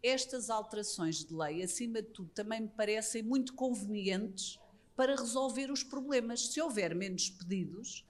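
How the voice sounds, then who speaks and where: A woman's voice: on the high side, expressive, normal speed. Portuguese woman lawyer on a panel. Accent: European Portuguese. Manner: earnest, critical, with frequent restarts. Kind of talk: conference panel discussion. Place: embassy conference room.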